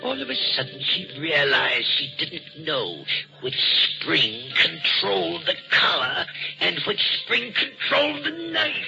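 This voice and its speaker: An old, muffled radio drama recording with faint music. a jumpy, deferential museum attendant with a high, creaky voice. He is hesitant and ominous, like someone sharing a ghost story. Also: sing-song, unhurried, exaggerated voice. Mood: ominous, dramatic. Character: nervous, deferential male wax museum attendant, fond of eerie tales.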